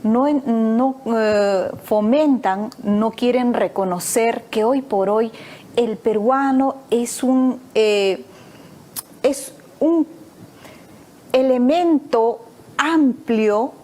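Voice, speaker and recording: A woman hosts a talk show and lays out her arguments point by point. Her voice sits somewhat high, with frequent fillers between her ideas. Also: extremely slow, dark tone. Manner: articulate, lists ideas with many fillers. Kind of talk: opinionated talk show discussion.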